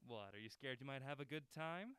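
Actor as a playful, teasing, lively-voiced man. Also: medium pitch, brisk, clean tone, no room sound, close to an everyday voice. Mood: teasing, daring. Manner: teasing, nonchalant, slightly stammering. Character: playful, teasing, unruffled friend coaxing along. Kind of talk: naturalistic audio drama acting.

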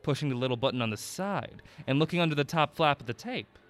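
A man playing a mild, curious young sidekick in an audio drama, gently wry and a little hesitant. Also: a little high, expressive, fast, faint music behind, natural voice. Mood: curious, teasing.

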